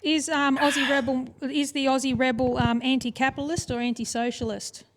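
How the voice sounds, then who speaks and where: Woman, co-host, high pitch, interjecting questions, faint background noise. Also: level tone, fairly quick. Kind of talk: casual chat.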